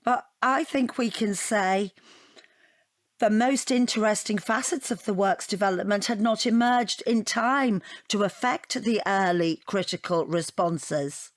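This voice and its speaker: An actress playing a chatty, defensive middle-aged British divorcee in an audio comedy drama, rambling and self-justifying with a wry edge. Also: female voice, mid-range voice, unhurried, no echo, close to an everyday voice. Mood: wry, reminiscing.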